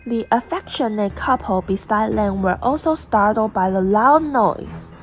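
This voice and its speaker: Female narrator, young, unhurried scripted storytelling over background music. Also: mid-range voice, lo-fi sound. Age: young adult. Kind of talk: scripted narration of a student English radio drama.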